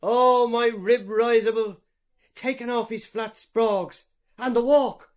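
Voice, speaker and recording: Guy putting on a high squeaky jokester, slow and teasing, muffled sound. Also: steady tone. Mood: mocking. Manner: teasing, playful. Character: jokey, mocking young male joker. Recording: dull lo-fi recording.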